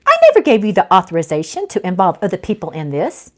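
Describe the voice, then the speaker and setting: Casting: fussy, easily alarmed lady ghost for a novel reading, voiced by a woman, dry and animated. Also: fairly low pitch, quick pace. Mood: indignant. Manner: dry, fretful.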